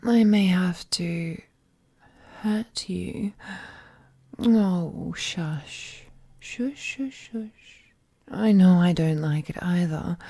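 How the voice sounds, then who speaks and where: A woman playing an ancient, all-powerful female captor, possessive and lovesick, in an intimate villainous audio roleplay with a hushed, menacingly tender delivery. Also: lowish voice, creaky, breathy edge, full character voice. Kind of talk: scripted audio roleplay, intimate dramatic monologue.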